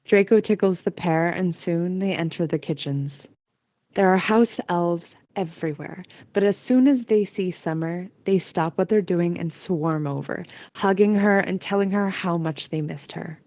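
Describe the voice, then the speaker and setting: A woman with a moderately low voice reads scripted story narration. The sound is close and dry, with no room around it. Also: unhurried, darkish tone, no echo.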